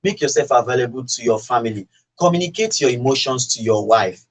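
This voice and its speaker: A Nigerian man talks as a guest in a radio studio discussion. His mid-pitched voice is conversational and earnest, and he presses his advice home with rhetorical questions. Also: expressive, fairly quick.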